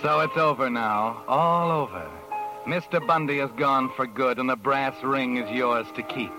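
An actor playing a knowing radio narrator who speaks in an insinuating, taunting second person. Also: male voice, medium pitch, a little slow, lightly acted, rough recording. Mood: sardonic, insinuating. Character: knowing, sardonic narrator addressing a guilty woman. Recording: vintage radio recording with music.

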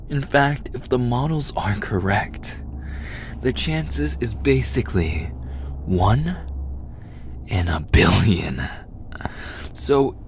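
Man acting a low, slow, obsessive vampire. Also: a little hiss or hum, exaggerated voice. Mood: fascinated. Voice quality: breathy, often dropping to a whisper. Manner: slow, intimate, gloating. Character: obsessive, possessive male vampire, starved and hungry for blood. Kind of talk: boyfriend ASMR audio roleplay.

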